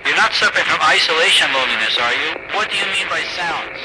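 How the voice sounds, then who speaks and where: An actor playing a reassuring, apologetic male mission controller in a radio drama, speaking in a measured, placating way. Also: on the high side, lively intonation, very noisy, close to an everyday voice.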